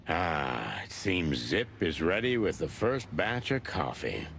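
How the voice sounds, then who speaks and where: An actor playing a world-weary, terse rooster detective in a film-noir adventure game. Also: male voice, sits low, very animated, slow pace, gravelly, fairly bright tone, slight background noise, exaggerated voice. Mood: dry. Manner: terse, hard-boiled, dry.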